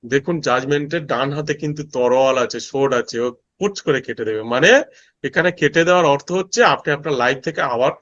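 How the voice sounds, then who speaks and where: A man's voice: medium pitch, brisk, a bit bright. A man teaching a course, emphatic, repeating phrases and often checking that students follow. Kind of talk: online lesson with worked examples. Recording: dull lo-fi sound.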